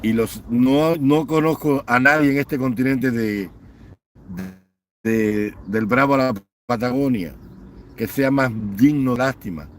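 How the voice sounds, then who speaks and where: Man, angry political rant, mid-pitched, faint noise behind, repetitive scornful listing. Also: measured pace. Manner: fiery, repetitive listing, mocking. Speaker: political commentator.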